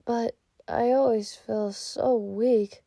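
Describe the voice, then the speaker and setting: A woman voicing an insecure young fox creature in a comic dub, apologetic, confused and halting. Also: a little high, fairly even intonation, creaky, mellow, no echo, exaggerated voice. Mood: apologetic, downcast. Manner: hesitant, halting. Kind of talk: animated comic dub acting.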